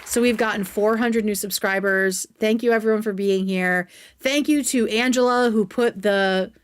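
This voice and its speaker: A woman's voice: medium pitch, steady tone, normal speed, a faint crowd, slight background noise. A woman hosting a livestream, chatting casually and informally with viewers, with some swearing. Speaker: livestream host. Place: indoors at home.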